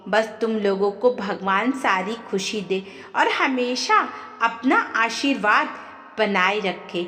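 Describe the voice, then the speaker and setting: A woman's voice: mid-range voice, slow pace. A woman voicing a frail, plaintive elderly mother-in-law in a family audio story. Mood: weary resignation. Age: older. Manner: weary, plaintive, gentle. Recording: echoey, long reverb.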